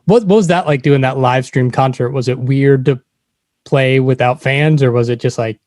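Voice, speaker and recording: A man's voice: mid-range voice, normal speed, darkish tone, no echo, studio quality. A man interviewing guests casually as a podcast host.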